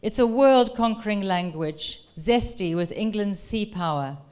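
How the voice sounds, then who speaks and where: A woman's voice: mid-range voice, expressive, unhurried, darkish tone, clear, resonant tone, lightly acted. A woman reading aloud as a stern, domineering older Dutch father with a growly Dutch accent. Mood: imperious.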